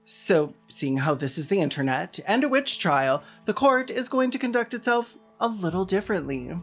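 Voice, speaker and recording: Female commentary host, medium pitch, sardonic and theatrical scripted read over faint music. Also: sing-song, normal speed. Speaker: video essayist, host.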